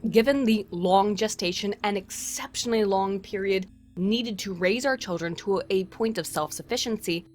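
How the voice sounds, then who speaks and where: A woman narrating a scripted video essay in formal, wordy academic phrasing with wry asides. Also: mid-range voice. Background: music.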